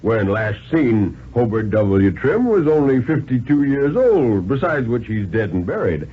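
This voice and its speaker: A folksy, plain-spoken small-town police chief , put on by a male reader, stumbling over repeated words. His pitch jumps about, and the 1950s radio recording is muffled with faint hiss. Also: big pitch swings. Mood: skeptical. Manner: colloquial, stammering repeats, drawn-out reactions.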